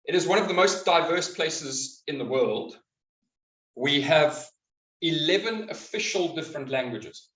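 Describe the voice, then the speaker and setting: A man's voice: a little high, lively intonation, a little slow, a bit bright. A man with a South African accent giving a community talk as a health practitioner, chatty and earnest with frequent ums. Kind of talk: community talk to a live audience. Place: community hall. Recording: echoey hall, speaker far from the mic.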